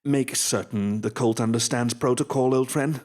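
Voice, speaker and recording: A man's voice: medium pitch, moderate pace, darkish tone, lightly acted. A man playing a haughty, scheming royal pony official with a condescending, drawling manner in a fan-made radio drama. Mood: haughty, commanding.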